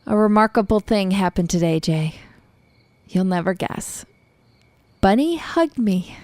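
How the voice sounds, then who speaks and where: A woman's voice: medium pitch, animated, unhurried, darkish tone, natural voice. An American actress playing a tough, guarded female leader of a neighborhood militia in a naturalistic post-apocalyptic radio drama. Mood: guarded, tender.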